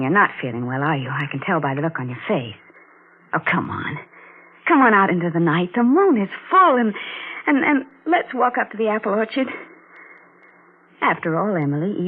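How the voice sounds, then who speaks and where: A woman's voice: fairly low pitch, lively intonation, brisk, breathy edge. An actress playing a flighty, easily frightened young woman in a 1940s radio thriller, breathless and exclamatory. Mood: coaxing. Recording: tinny band-limited 1940s radio recording.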